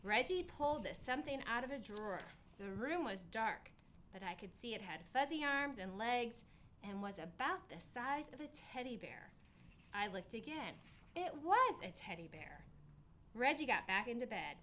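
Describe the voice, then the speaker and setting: A woman narrating a children's picture book expressively, with deliberate, emphatic phrasing. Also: a little high, big pitch swings, fairly bright tone, slight background noise. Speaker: assistant principal reading a picture book.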